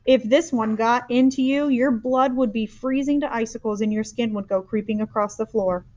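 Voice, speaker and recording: A woman's voice: fairly high pitch, moderate pace, mellow, lightly acted. A woman voicing a big, friendly old male giant who mangles his grammar and invents words, in a dull lo-fi recording. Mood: fierce.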